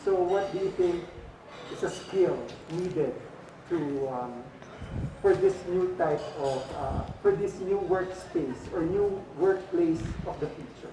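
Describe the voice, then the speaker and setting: A woman's voice: lowish voice, slow pace, background noise. A woman judge asking a pageant interview question hesitantly, with many fillers. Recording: distant and echoey in a room.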